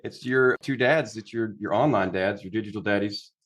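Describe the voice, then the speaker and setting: Deep-voiced young man hosting casually. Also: moderate pace. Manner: joking, rambling, with fillers. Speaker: podcast host. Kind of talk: casual podcast chat.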